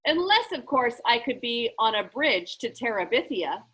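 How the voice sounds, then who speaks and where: A woman's voice: big pitch swings, fairly bright tone. A woman voicing an eccentric, grandly stern man who presides like a judge in a children's storybook. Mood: cheerful, playful. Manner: booming, theatrical, deliberate. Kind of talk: children's book read-aloud.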